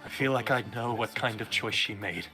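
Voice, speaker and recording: Man voicing a blunt, brash young man, bright edge. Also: normal speed. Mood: somber, earnest. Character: brash, brooding, uneducated young man from a game. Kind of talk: amateur fan voice-over of game dialogue. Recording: low-quality game capture with music.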